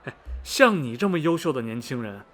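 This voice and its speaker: An actor playing a chatty railway ticket agent who gives folksy, emphatic warnings in a radio drama. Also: male voice, mid-range voice, big pitch swings, brisk, a bit bright. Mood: cautioning.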